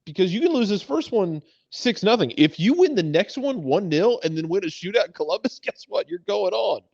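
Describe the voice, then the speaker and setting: A man with a high voice and very animated intonation, talking sports casually as a panelist. He argues bluntly, repeating himself, on a slightly dull, lo-fi recording. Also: fairly quick, vocal fry, no room sound. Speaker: sports talk show panelist. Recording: dull, band-limited sound.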